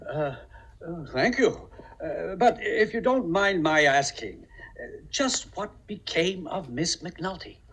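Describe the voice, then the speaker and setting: An actor playing a fussy, middle-aged senior partner in a 1950s radio comedy, formal and hesitant, with a husky voice. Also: male voice, sits high, lively intonation, slow, fairly bright tone, exaggerated voice. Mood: polite, flustered. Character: fussy, pompous senior male business partner, easily flustered. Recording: vintage 1950 radio broadcast.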